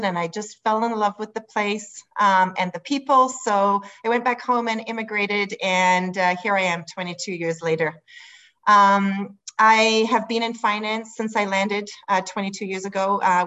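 A woman's voice: mid-range voice, a bit bright, poor audio. A middle-aged woman with a South African accent introducing herself as an interview guest in a gracious, upbeat, conversational way. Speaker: guest, mortgage advisor.

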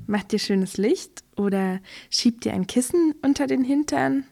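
Slow, lilting woman with guitar. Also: fairly high pitch, expressive, vocal fry. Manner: warm, inviting. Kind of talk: scripted ensemble recitation.

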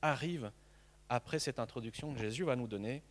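A man in his thirties from Mexico, a native Spanish speaker, preaching with earnest conviction, often stumbling over and repeating small words. His voice sits at an ordinary middle pitch. The sound carries a long reverb, as in a large church hall. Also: a little fast. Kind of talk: sermon.

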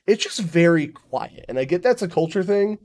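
A man from the United States chatting as a podcast guest, animated and self-mocking, with casual swearing. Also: sits high, moderate pace. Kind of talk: casual chat.

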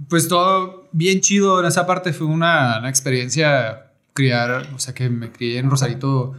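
Young man, podcast guest, loose and slangy, lots of fillers, mid-pitched. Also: a little slow. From Mexico (Baja California). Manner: relaxed storytelling with slang and many fillers. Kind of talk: casual conversation.

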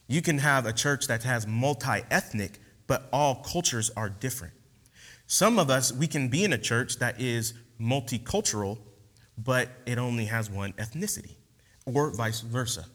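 Man preaching in an echoey hall. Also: mid-range voice. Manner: relaxed, slangy, animated teaching with many fillers. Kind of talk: casual sermon with opening prayer. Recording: long hall reverb.

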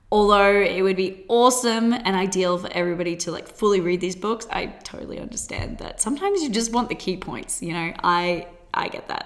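A woman in her twenties with an Australian accent, hosting a solo podcast in a bubbly, chatty way with quick laughs. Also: on the low side, a bit bright. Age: 20s. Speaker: podcast host.

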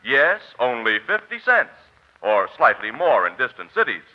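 A man's voice: mid-range voice, sing-song, normal speed. An American man announcing and reading sponsor copy in a polished, declamatory 1940s radio style. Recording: muffled vintage radio recording, music behind.

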